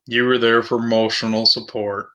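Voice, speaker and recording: A man's voice: mid-range voice, little pitch movement, normal speed, bright, forceful tone. A man talking casually and matter-of-factly as a player in a tabletop role-playing game.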